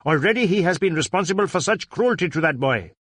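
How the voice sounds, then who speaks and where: A man's voice: high voice, animated, fast, fairly bright tone, dry recording, clean studio sound, pitched above the performer's own voice. A man voicing a proud, fervent Indian businessman and father in an audiobook, speaking insistently and emphatically. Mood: indignant. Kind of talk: audiobook character voice.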